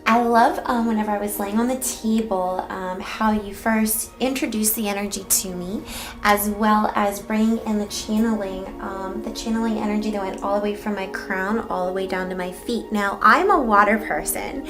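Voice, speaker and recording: Brief: effusive spiritual host, woman, high-pitched voice. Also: fairly even intonation, moderate pace, over music. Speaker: show host interviewing a healer. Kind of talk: gushing spiritual testimonial.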